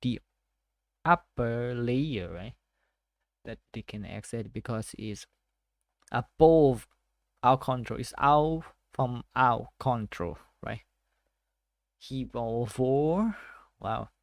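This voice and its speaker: A Thai-accented man works slowly through technical notes and reads passages aloud between hesitant asides. His voice has a gravelly edge, and the recording is dry and close, with no room sound. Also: medium pitch, animated, no echo. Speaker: tech study vlogger. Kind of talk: casual self-study screen walkthrough.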